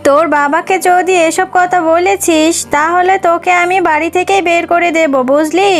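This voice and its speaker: A woman's voice: very high voice, steady tone, normal speed, soft background music. A woman voicing a cruel, domineering stepmother fox in a children's cartoon, with a sly, nagging manner. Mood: scolding, threatening. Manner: sharp nagging, taunting rhetorical questions.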